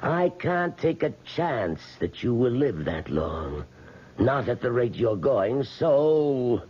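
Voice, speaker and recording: An actor playing a frail, wealthy old man in a radio drama, formal and measured, with quiet menace. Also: medium pitch, big pitch swings, husky, exaggerated voice. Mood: coolly insistent. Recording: dull, muffled vintage radio recording.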